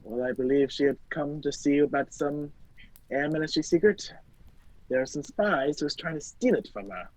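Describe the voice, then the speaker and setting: Performer playing a husky, flustered gentleman hero. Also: sits low, dry recording. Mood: hesitant. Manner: halting, exclamatory, wryly polite. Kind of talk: amateur radio play acting. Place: indoors, performed over a video call. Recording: muffled video call audio.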